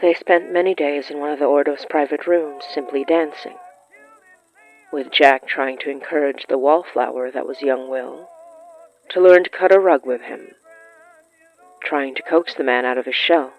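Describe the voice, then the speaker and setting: A narrator of middling pitch reads a scripted story. The recording is somewhat dull and lo-fi, with music playing faintly behind the voice. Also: fairly even intonation, moderate pace. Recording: muffled lo-fi sound with music.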